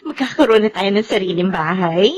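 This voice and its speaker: A woman's voice: brisk, natural voice. An actress playing a loving, emotional pregnant young wife and mother in a Filipino radio soap opera. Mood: happy, excited. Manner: highly emotional, tearful delivery. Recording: dramatic music under the voice.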